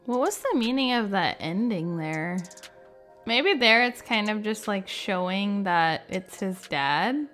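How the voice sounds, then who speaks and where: A woman's voice: medium pitch, lively intonation, slow, fairly bright tone, faint music behind. A woman hosting a gaming video, giving casual, chatty and informal commentary. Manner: chatty, informal, frequent "like" and "you know". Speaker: gaming video creator and host.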